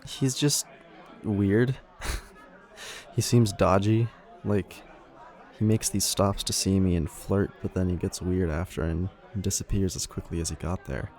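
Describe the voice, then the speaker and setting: A man playing a casual, slightly defensive younger helper in an audio drama, speaking a little hesitantly in a low, creaky voice. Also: fairly even intonation, unhurried, darkish tone. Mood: casual, uneasy. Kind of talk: audio roleplay acting.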